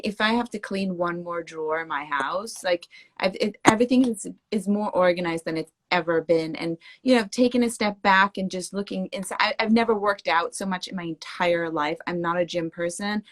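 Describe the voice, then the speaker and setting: Chatty Swedish-born woman in her forties. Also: fairly low pitch. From Sweden. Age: 40s. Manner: quick, chatty, reacts with laughs. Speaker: host. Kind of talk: casual video-call chat.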